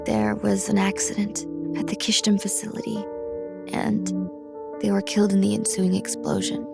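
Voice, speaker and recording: A woman's voice: medium pitch, level tone, moderate pace, vocal fry, over loud music. A woman voicing a grave, idealistic young psychic soldier in a video game, earnest and solemn. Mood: sorrowful. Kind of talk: dramatic video game voice acting.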